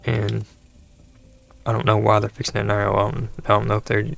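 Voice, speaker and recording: A man hosting a gaming channel, talking casually over gameplay with a dry throat and a hoarse voice, rambling and exasperated, often restarting his sentences. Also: on the low side, completely flat delivery, fairly quick, a bit bright. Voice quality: gravelly, creaky voice.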